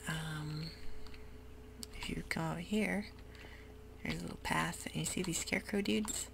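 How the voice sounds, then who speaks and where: A woman whispering ASMR gameplay commentary in a soft, rambling way with many ums. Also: low-pitched, unhurried, vocal fry, background noise. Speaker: gaming ASMR host.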